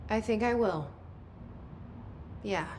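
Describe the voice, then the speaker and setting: A woman's voice: medium pitch, slow pace, darkish tone, slight background noise, natural voice. An actress voicing a wary young woman newcomer in a game, asking short, direct questions.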